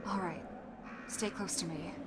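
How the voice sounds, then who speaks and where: A woman playing a determined, protective young fighter in a zombie outbreak audio roleplay, speaking earnestly and haltingly to the listener. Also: lowish voice, steady tone, heavy background noise, lightly acted. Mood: grateful, resolute.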